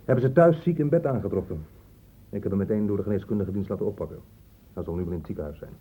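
An actor playing a gruff, hard-line police inspector in a radio drama, blunt and sarcastic, with emphatic delivery. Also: male voice, lowish voice, very fast, narrow, tinny audio. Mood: matter-of-fact.

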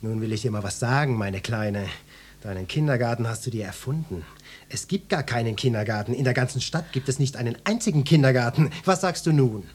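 A man acting as a trigger-happy police inspector in a radio play. His mid-pitched voice is a little husky, and he speaks in a gruff, bossy, swaggering interrogation manner. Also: a little fast, darkish tone, lightly acted. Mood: bullying.

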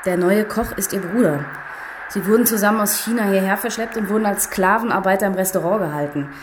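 An actress playing an earnest, businesslike police investigator giving matter-of-fact briefings in a radio crime drama. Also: female voice, medium pitch, fast talker, background noise, natural voice.

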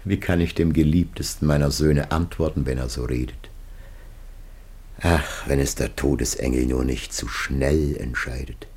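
A man acting an ancient, dying patriarch in a solemn radio drama, grave, anguished and loving, with declamatory, drawn-out phrasing. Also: extremely low pitch, steady tone, a little mellow, husky. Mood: anguished, tender.

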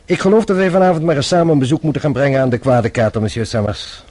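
An actor playing a shrewd French police inspector in a radio drama, formal, measured and politely probing. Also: male voice, medium pitch, animated, darkish tone, lightly acted. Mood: businesslike.

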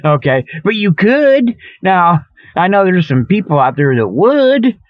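A man with a notably high voice rambles through a comic radio sales pitch. His patter is folksy and repetitive, like a huckster working the folks at home. The sound is close and dry, slightly dull and lo-fi. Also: big pitch swings, measured pace, dry recording. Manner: folksy huckster patter, repetitive, chuckles often at own jokes. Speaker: host of a comedic radio report.

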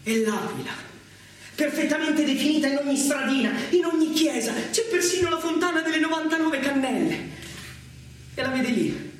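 A man narrating a dramatic stage monologue in a declamatory, measured way with theatrical pauses. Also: extremely high pitch, animated, a little hiss or hum. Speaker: solo stage actor narrating. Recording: very echoey theatre recording, distant, with music. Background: music.

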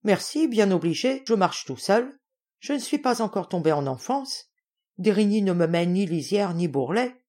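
A woman voicing a kindly but gruff old general, blunt and wry, in a storybook reading. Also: mid-range voice, big pitch swings, normal speed, warm tone. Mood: dry, cold.

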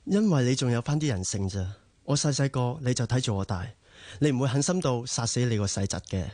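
A man's voice: mid-range voice, animated, a little fast, darkish tone, close to an everyday voice. A male actor playing an upright, dutiful heir to a family business in a radio drama, earnest, with a Hong Kong Cantonese accent. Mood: righteous, defiant.

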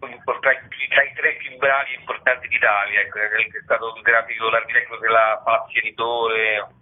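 A male comedian chatting casually as an interview guest, halting and deadpan in his joking. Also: medium pitch. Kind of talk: casual radio interview chat. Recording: tinny phone-like radio sound.

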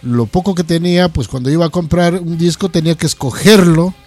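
Higher-voiced man chatting. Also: steady tone, brisk, darkish tone. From Ecuador. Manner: hesitant, repeats words as they go. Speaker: host of a heavy metal radio programme. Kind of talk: casual interview chat.

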